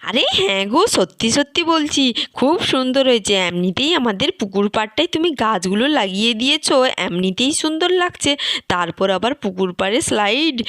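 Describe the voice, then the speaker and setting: A woman voicing a lively, admiring village wife and mother in a doll-play story, chatty and full of exclamations. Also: a little high, no room sound, studio quality.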